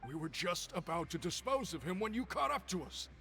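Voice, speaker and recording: A man's voice: high voice, very animated, brisk, vocal fry, pushed and bright, exaggerated voice. A man voicing a nervous, cowardly gang thug in a game who stammers, heard as game audio with music underneath. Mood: frightened.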